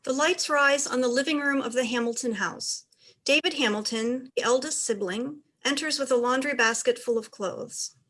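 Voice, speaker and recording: A woman's voice: high-pitched, moderate pace, fairly bright tone. A woman reading out stage directions as the narrator of a dramatized play reading.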